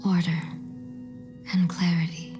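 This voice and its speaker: An actress voicing a mysterious female guide who speaks only in a breathy, cryptic whisper, in a game cutscene. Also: lowish voice, flat delivery, music in the background. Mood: hushed. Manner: slow, measured, cryptic pronouncements. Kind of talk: video game cutscene voice acting.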